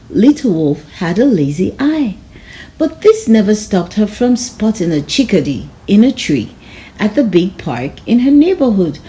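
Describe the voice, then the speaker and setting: A woman narrating a children's storybook aloud in a measured, expressive storytelling manner. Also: mid-range voice, rough recording. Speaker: storybook reader.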